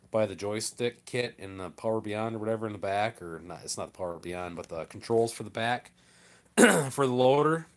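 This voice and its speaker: A man, a farmer chatting casually as he hosts a live stream and answers comments in a plainspoken, rambling way. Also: mid-range voice.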